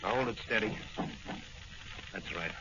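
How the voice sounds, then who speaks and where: Through a noisy, muffled old radio recording comes a man acting a masked crime fighter. His voice is low and commanding. He speaks in terse, tough orders in 1940s serial style. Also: unhurried, lightly acted. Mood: stern, commanding.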